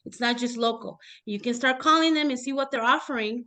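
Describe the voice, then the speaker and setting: A woman on a video team meeting, talking in a quick, offhand way while sharing a tip. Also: a little high, pushed and bright. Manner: quick, offhand, slightly scattered. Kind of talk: casual meeting chat. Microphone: video call.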